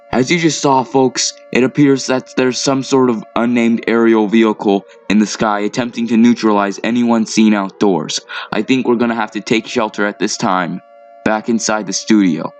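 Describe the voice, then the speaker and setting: An actor playing an alarmed field news reporter, urgently warning viewers in a dramatic live report. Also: male voice, lowish voice, normal speed, natural voice.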